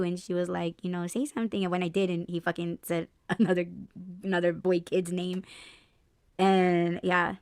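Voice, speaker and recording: A woman hosting a podcast in casual chat, loud in tone, laughing often and swearing freely, with little bass in her voice. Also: no echo, studio quality. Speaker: podcast host.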